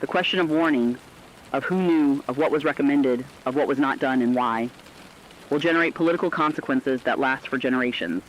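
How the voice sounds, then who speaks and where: A man playing an earnest radio correspondent, giving sombre, deliberate scripted commentary on a disaster. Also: medium pitch, a little hiss or hum, natural voice. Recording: dull lo-fi radio-style sound.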